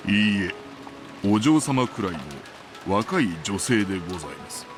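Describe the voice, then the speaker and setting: An actor playing an elderly, deferential butler in a visual novel, very polite, formal and restrained. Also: male voice, on the low side, lively intonation, quick pace, natural voice. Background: game music.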